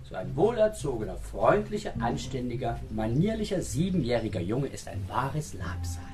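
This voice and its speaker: Stuffy, proper male pharmacist played by an actor, prim and pedantic, very animated delivery, children's radio drama. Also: mid-range voice, normal speed, close to an everyday voice. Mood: indignant, lecturing. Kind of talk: children's radio play acting. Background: music.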